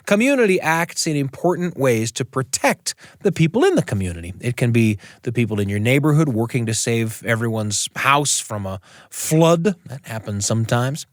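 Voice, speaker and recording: A man's voice: mid-range voice, dry recording, studio quality. An American man hosting a radio show, reading scripted narration.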